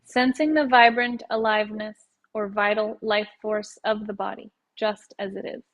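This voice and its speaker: A woman leading a guided yoga nidra meditation in a soothing, unhurried way, letting her phrases end softly. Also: mid-range voice, fairly even intonation.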